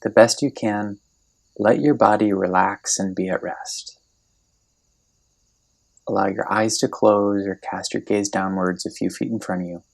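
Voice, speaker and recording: Male meditation guide, low register with heavy vocal fry, gentle and unhurried scripted delivery. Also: fairly even intonation. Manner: gentle, unhurried, soothing instruction with long pauses.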